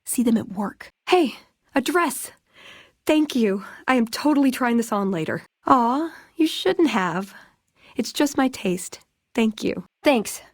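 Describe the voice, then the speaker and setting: An actress playing a wry, inquisitive young tech scribe in a video game, with an American accent and a casual, conversational delivery. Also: female voice, fairly high pitch, lively intonation, slow pace, dry recording, studio quality. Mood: delighted, flustered. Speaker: video game character actor.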